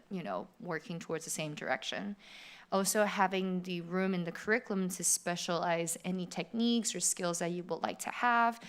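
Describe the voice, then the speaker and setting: A middle-aged woman from Taiwan giving an upbeat open house presentation in a theater, speaking directly to prospective students. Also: lowish voice. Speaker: animation faculty presenter. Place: theater auditorium.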